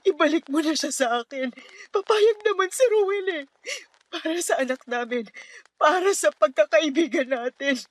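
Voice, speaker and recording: A woman's voice: high-pitched, moderate pace, no room sound, close to an everyday voice. A woman playing a worn-out, despairing young mother in a Filipino radio drama, with a Filipino accent and a sullen, pleading manner. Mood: desperate pleading. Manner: curt and sullen, breaking into tearful pleading. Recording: music behind the voice.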